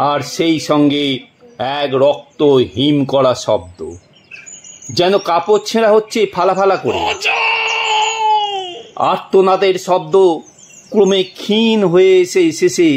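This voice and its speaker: A man narrating a suspense story from a script in a dramatic, deliberate way, with long pauses. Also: on the high side, background noise.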